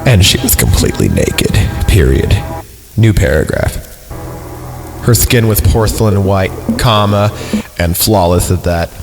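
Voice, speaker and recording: A man's voice: low voice, expressive, unhurried, creaky, over music. A man hosting a podcast, reading a horror story aloud. He reads the punctuation out, stumbles over lines and breaks off into joking asides. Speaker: podcast host.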